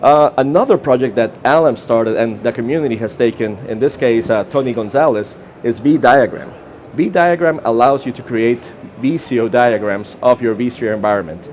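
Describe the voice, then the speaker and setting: A man's voice: mid-range voice, moderate pace, a little mellow, slight background noise. A man with a Costa Rican Spanish accent gives a short, informal conference talk, with fillers and restarts. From Costa Rica. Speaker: conference presenter. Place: conference session room.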